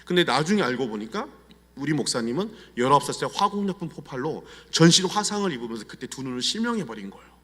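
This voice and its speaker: A middle-aged Korean pastor with a Chungcheong accent, telling personal stories in a confiding, animated sermon style. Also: male voice, fairly high pitch, fast. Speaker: guest pastor. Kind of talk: storytelling sermon. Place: church sanctuary. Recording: long church hall reverb.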